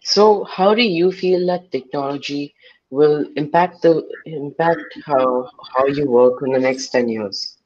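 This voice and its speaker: A man's voice: fairly high pitch, a little slow, darkish tone, highs cut off. A young man, asking short interview questions over a video call through a headset. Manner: brief questions, repeated "all right".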